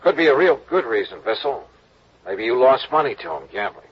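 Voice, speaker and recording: A man's voice: medium pitch, big pitch swings, brisk, husky. A man playing a dogged, hard-boiled insurance investigator in a 1950s radio drama, questioning suspects with clipped, wry lines and narrating. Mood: steady. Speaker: lead and narrator. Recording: muffled old radio broadcast with hiss.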